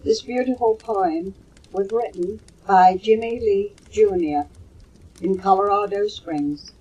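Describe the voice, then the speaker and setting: An older woman with a husky voice, reciting poetry slowly and expressively on a low-fidelity home cassette recording. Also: mellow, highs cut off. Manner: slow, measured, dramatic storytelling delivery. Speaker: mother reading poems for her children.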